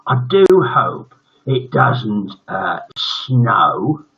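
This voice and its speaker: A man voicing a ghoulish, sadistic schoolteacher in a storybook, with slow, drawn-out menace and muttered growls. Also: on the low side, big pitch swings, vocal fry, sharp tone, exaggerated voice. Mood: gloating. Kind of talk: storybook character reading. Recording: dull lo-fi livestream audio.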